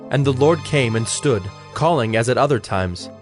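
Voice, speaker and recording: A man narrating scripture from a script in a measured, solemn manner. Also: mid-range voice, lively intonation. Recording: faint music behind the voice.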